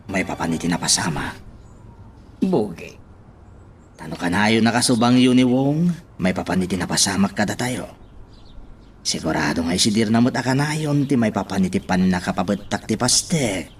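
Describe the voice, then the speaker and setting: Radio drama role: brooding, insistent man, played by a male actor, low and animated, over music. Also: moderate pace, pauses often, natural voice. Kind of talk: Ilocano radio drama acting.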